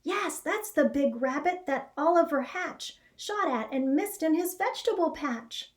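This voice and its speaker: Young farm boy played by a woman for a storybook: high, excitable, exclamatory. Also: unhurried. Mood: amazed.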